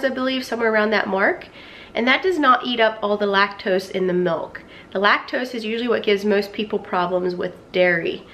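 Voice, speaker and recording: A woman's voice: medium pitch, fairly bright tone, slight background noise. Sniffly woman vlogging from her kitchen. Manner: chatty, conversational. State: hoarse and sniffly from a cold. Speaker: gut health vlogger. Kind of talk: casual recipe vlog to camera. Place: home kitchen.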